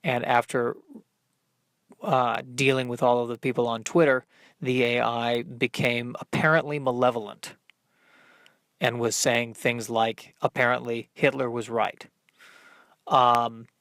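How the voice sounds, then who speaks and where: A man hosting a solo comedy podcast, rambling and thinking aloud in a halting, self-deprecating way. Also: mid-range voice, a bit bright, dry recording, clean studio sound. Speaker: podcast host working out stand-up comedy bits.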